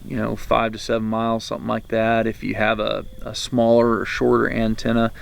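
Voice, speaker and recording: A man's voice: lowish voice, completely flat delivery, brisk, vocal fry. A man with an American accent explaining gear casually to viewers in a rambling, hesitant, folksy way, as the host of a video. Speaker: video host, prepper reviewer. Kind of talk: casual explanatory product talk to camera.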